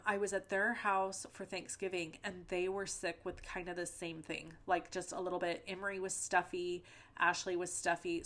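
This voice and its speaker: A middle-aged woman chats her way through a crafting tutorial recorded at home, wandering into personal asides and filling pauses with ums and likes. Her voice sits a bit low for a woman and sounds slightly stuffed up from the tail end of a cold. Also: a bit bright. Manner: rambling, conversational, many fillers and asides. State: a little stuffy-nosed from a lingering cold. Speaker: card-making channel host. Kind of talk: chatty crafting tutorial voice-over with personal stories.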